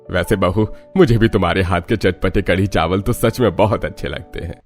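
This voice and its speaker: Man as a deep-voiced genial older man. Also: normal speed. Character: genial older man, family elder and dairy boss. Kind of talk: cartoon story acting. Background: music.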